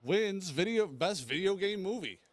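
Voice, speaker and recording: A man's voice: sits high, expressive, unhurried, dry recording. A man chatting casually as co-host of a podcast, speaking close to the microphone.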